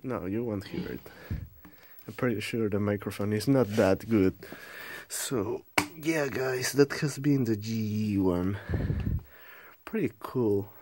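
Slow, halting man on a noisy recording. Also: lowish voice. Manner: halting, rambling, informal. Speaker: vintage radio collector showing a find. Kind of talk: casual unscripted show-and-tell. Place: indoors, small cluttered room at home. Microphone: handheld phone or camera built-in mic. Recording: noisy handheld camera sound with clicks.

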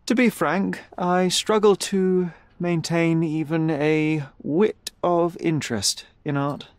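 A man's voice: a little high, steady tone, slow, no room sound. A man playing a young, brilliant detective in a video game, cool, rational and precise, with a slightly haughty manner. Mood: assertive, dismissive. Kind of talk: video game cutscene acting.

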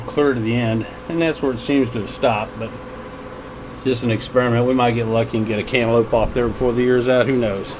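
Man narrating casually over noisy background hum. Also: mid-range voice. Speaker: home hydroponics hobbyist narrating a video tour. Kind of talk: casual unscripted walkthrough narration. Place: small basement indoor grow room. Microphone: handheld camera, narrator behind it. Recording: steady loud hum and water noise.

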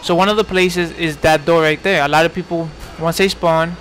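A man's voice: fairly high pitch, steady tone, moderate pace, a bit bright, faint music behind. A man in his twenties from New York giving casual, rambling commentary over gameplay, full of fillers and restarts. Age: 20s. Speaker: gaming commentator.

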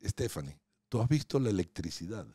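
A man's voice: on the low side, big pitch swings, fairly quick, mellow, a little hiss or hum. A man, a podcast guest chatting casually, playful and storytelling. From Venezuela. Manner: playful, asks teasing questions, storytelling. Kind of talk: casual interview chat. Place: small home podcast studio. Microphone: studio mic on boom arm, headphones.

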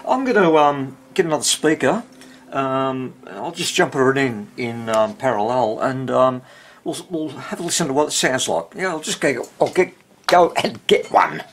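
An older man with a husky voice, giving casual, halting hands-on commentary as he tinkers at an indoor workbench. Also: medium pitch, slight background noise. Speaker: radio repair hobbyist.

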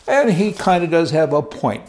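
A man's voice: a little high, sing-song, moderate pace, a little mellow. An older man hosting a political commentary show, speaking to camera in a chatty, sarcastic way while reading from papers in a studio. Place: studio with wooden backdrop.

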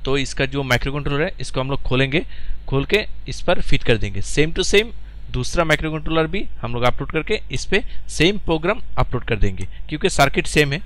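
A man's voice: fairly high pitch, lively intonation, fairly quick, fairly bright tone. A man, giving instructional tutorial narration as host of an electronics channel. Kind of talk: instructional tutorial narration mixed with English terms.

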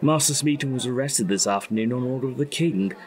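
A man playing a wry, gossiping court gentleman with a knowing manner in a historical comedy audio drama. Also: mid-range voice, fast, lightly acted.

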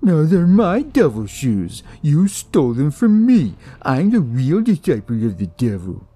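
A man's voice: on the high side, sing-song, measured pace, mellow, husky, pitched above the performer's own voice, exaggerated voice. A man voicing a whiny, boastful, spiteful devil worshipper in an audio horror story. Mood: indignant. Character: snarling, whiny devil-worshipping male villain, smug and spiteful.

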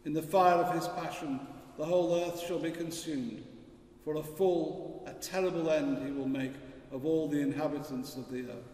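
An older man giving a solemn, measured, formal scripture reading at a church service. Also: fairly high pitch, fairly even intonation, darkish tone. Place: large stone church, at a lectern. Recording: echoey church, distant mic, hum.

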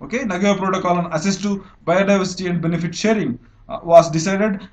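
A young man with an Indian English accent, teaching an exam-prep lecture over slides, explaining step by step with frequent fillers and self-corrections. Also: high-pitched. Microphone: voice-over recorded over screen annotation.

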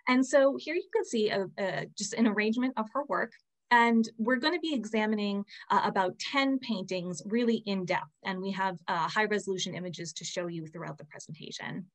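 Young woman, museum host on a video call, mid-pitched, friendly and a little halting. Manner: friendly, filler-heavy, scripted intro. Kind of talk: introducing a speaker. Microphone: laptop webcam mic on a video call.

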